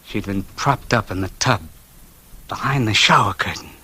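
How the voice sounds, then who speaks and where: An actor playing a bitter, numb man in a doomed crime story, in halting, brooding 1940s radio noir style. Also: on the low side, heavy background noise, natural voice. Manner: halting, brooding first-person delivery. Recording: vintage radio drama, music underneath.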